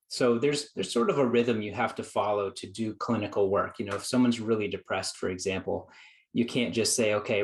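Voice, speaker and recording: A man, a psychologist guest, telling his story in an earnest, reflective way, acting out his own past thoughts. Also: lowish voice. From United States. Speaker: guest psychologist and productivity coach. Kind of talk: conversational storytelling.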